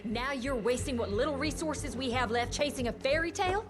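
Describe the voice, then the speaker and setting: An actress voicing a commanding female doctor who talks in a sharp, stern, argumentative way. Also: high-pitched, animated, a little fast, over music. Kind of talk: video game cutscene acting.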